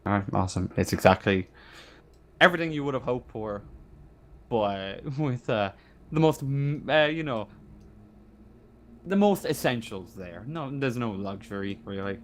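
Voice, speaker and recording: A man running a tabletop game, improvising scene narration in a halting way and repeating phrases as he searches for words. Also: mid-range voice, animated, a bit bright, a little hiss or hum. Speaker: game master.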